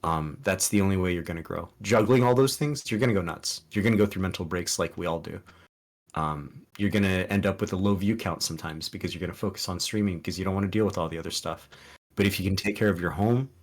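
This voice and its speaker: A man's voice: sits low, quick pace. A man whose first language is Spanish, a streamer guest on a podcast giving earnest, rambling advice with many restarts and ums. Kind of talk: casual podcast interview.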